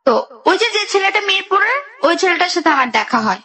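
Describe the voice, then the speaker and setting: A young woman with a high voice and a Bangladeshi accent. She speaks as a guest recounting her own life in a radio interview, going through it in detail and acting out what people said to each other. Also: sing-song, a little fast, pushed and bright. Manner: narrates in detail, re-enacting conversations. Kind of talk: personal storytelling in a radio interview.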